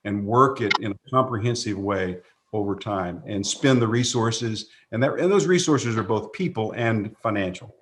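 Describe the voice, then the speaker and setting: A man's voice: lowish voice, normal speed, slight background noise. A man speaking as a panelist on a video call, folksy and rambling, with many restarts.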